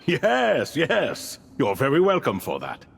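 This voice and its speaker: A man's voice: mid-range voice, wildly swooping pitch, gravelly, exaggerated voice. Theatrical, swooping ancient spirit king. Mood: gracious, whimsical. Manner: theatrical, grandiloquent, drawn-out phrasing. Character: ancient male ibis king spirit, courtly, whimsical, wistful. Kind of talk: video game character dialogue. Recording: long hall reverb with music. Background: music.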